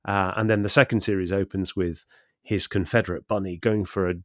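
A man co-hosting a literary discussion in a scholarly, measured manner, setting out his points with care. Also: low voice, fairly even intonation, a bit bright, dry recording, clean studio sound. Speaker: podcast co-host.

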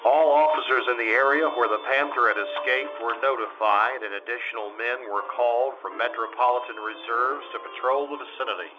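Man playing a deadpan detective, dull radio recording. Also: mid-range voice, a little fast, few pauses, noisy background, natural voice. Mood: grave, factual. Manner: clipped, matter-of-fact, deadpan. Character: calm, businesslike male police detective narrating his case. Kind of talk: 1950s radio police drama acting and narration.

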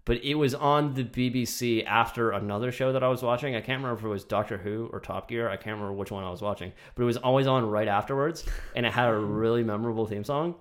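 A man's voice: mid-range voice, fast talker, hardly any pauses. A young man hosting a podcast, chatting casually and enthusiastically, rambling with frequent laughs. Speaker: podcast host. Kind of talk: casual chat.

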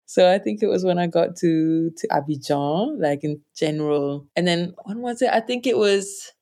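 A young woman answering interview questions, telling personal stories in a relaxed, chatty way and laughing often. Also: moderate pace. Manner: animated, laughs often, jumps up in pitch when quoting others. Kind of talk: reflective conversational storytelling.

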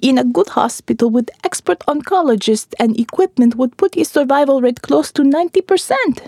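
Audiobook character: woman as awkward, creepy middle-aged man of medicine, detached and blunt, soft-edged tone, animated intonation. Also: high voice, a little fast, dry recording, clean studio sound. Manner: clinical, matter-of-fact, detached.

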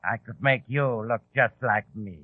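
A man's voice: medium pitch, a little slow, husky, dry recording. A sadistic, threatening henchman. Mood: sadistic menace. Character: sadistic, disfigured male henchman with a knife. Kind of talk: old-time radio drama acting. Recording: muffled, tinny 1940s radio recording.

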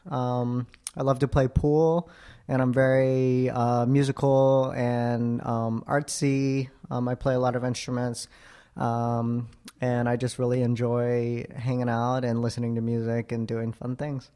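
A young man in his twenties, from Florida, answers questions at an unhurried, halting pace. His voice sits at a middle pitch with a creaky, gravelly texture, and he fills his pauses with soft ums. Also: little pitch movement. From Florida, USA. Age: 20s. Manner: thoughtful, hesitant, many ums. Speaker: interview guest. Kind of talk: casual interview.

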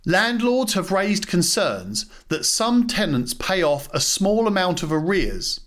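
A man hosting a podcast, reading scripted material aloud in a measured, formal way. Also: a little high, fairly bright tone. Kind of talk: scripted narration of a formal policy document.

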